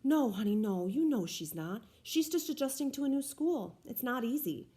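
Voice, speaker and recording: A woman reading aloud, voicing a tired, loving mother who speaks softly and soothingly. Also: fairly high pitch, animated, normal speed, voice pushed up, close to an everyday voice.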